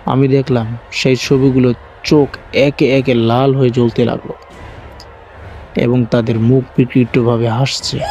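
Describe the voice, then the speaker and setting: Male horror-story narrator, mellow low-brightness tone, scripted first-person delivery, light music bed. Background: faint music.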